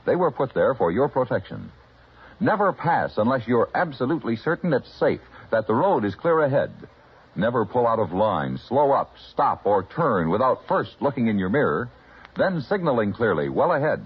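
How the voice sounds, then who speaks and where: Very raspy, emphatic American radio announcer. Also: male voice, medium pitch, big pitch swings, normal speed. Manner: emphatic, formal, instructive. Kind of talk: vintage radio public service announcement. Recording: dull old radio recording.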